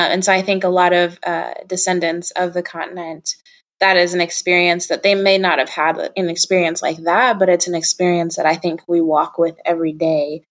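A young woman telling personal stories as an interview guest in a casual, candid and reflective way. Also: fairly low pitch.

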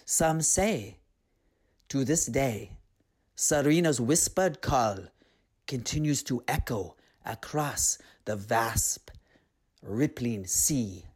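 A storyteller narrating and reflecting on a tale in an earnest, unhurried, reflective way. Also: mid-range voice, lively intonation, darkish tone, breathy edge.

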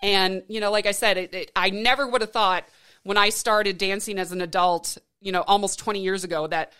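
A woman's voice: mid-range voice, steady tone, brisk, fairly bright tone, clean tone. A woman in her late thirties hosting a podcast, talking in an earnest, encouraging way with frequent restarts. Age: 30s. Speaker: podcast host. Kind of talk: casual interview chat.

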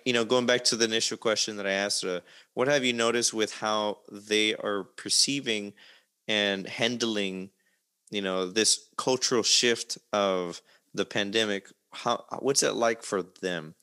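A man's voice: fairly low pitch, steady tone, measured pace, pushed and bright, smooth. A man hosting a conversational interview, asking wordy, reflective questions in a roundabout way. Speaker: interview host. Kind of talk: conversational long-form interview.

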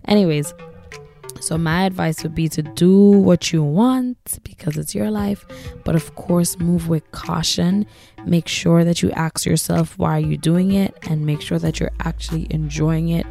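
A young woman in her twenties hosting a solo podcast, husky and raspy from losing her voice, chatting casually and giving advice. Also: fairly low pitch, a little slow, dark tone, soft background music. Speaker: podcast host.

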